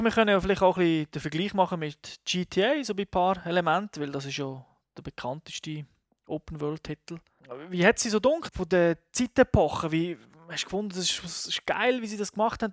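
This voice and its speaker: A man talks games in a relaxed, enthusiastic review chat, his phrasing loose and rambling. His voice sits on the higher side for a man, and the recording is dry and close. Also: dry recording. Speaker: video game reviewer, co-host. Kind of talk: casual game review chat.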